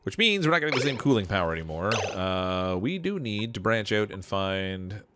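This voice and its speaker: Chatty man with a cold-deepened voice. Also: sing-song, normal speed, noisy background. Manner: chatty, laughs often, thinks aloud with restarts. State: voice lower from getting over a cold. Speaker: streamer playing a game, reading chat. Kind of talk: live gameplay commentary.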